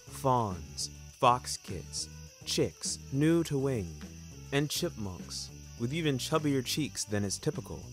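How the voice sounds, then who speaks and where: A young man reading scripted first-person fiction, carefully phrased, in a literary and dramatic manner. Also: mid-range voice. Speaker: narrator and author of a fiction podcast.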